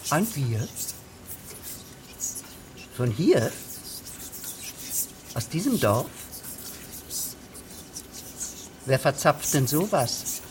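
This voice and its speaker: A woman's voice: extremely low pitch, a little hiss or hum, full character voice. An actress playing a pompous, verbose and officious female village administrator in a radio drama. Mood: indignant.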